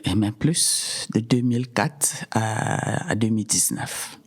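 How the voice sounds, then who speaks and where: A middle-aged woman, giving personal testimony in a halting way, often repeating words. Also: a bass voice, darkish tone, slightly rough. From Cameroon. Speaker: podcast guest.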